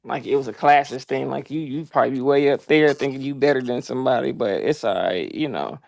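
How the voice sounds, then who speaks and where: A man's voice: mid-range voice, brisk, vocal fry, a little mellow, no room sound, low-quality recording. A man from Atlanta with a Southern accent, co-hosting a podcast in a laid-back casual chat full of heavy slang. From Atlanta, Georgia. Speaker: podcast co-host.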